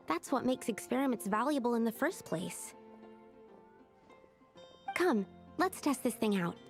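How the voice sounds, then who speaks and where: A woman's voice: mid-range voice, natural voice. A woman voicing a studious, earnest young female alchemist in a video game, explaining things precisely and methodically. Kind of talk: scripted video game voice acting. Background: game music.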